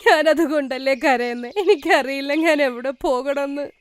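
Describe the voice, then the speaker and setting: A woman voicing a gentle, wronged young orphan woman in a cartoon moral story, earnest and plaintive. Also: high voice, moderate pace, a little hiss or hum, natural voice. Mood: tearful, plaintive.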